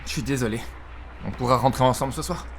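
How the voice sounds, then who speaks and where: A man's voice: medium pitch, fast, slight background noise, lightly acted. A man voicing a kind, apologetic teenage schoolboy in a French anime fan dub. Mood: placating.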